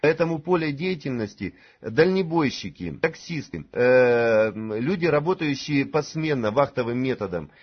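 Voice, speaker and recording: A man in his forties giving a conference talk to promote a supplement. He speaks hesitantly and fills his pauses with drawn-out "uh"s. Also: mid-range voice, lively intonation, slightly rough, highs cut off. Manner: hesitant, many drawn-out "uh" fillers. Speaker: presenter at a sales conference.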